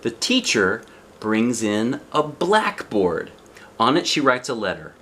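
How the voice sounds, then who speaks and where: A man reads a children's storybook aloud at an unhurried pace, with lively swings in pitch. He breaks off for chatty asides and questions to the child listening. There is noticeable background noise. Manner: expressive, asks the young listener questions. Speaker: storybook reader.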